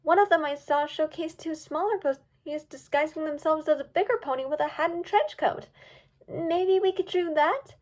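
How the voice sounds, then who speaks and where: A woman's voice: high voice, moderate pace, darkish tone, voice pushed up, close to an everyday voice. A woman voicing an eager, easily distracted young female pony in a storybook reading. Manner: eager, pleading, bubbly.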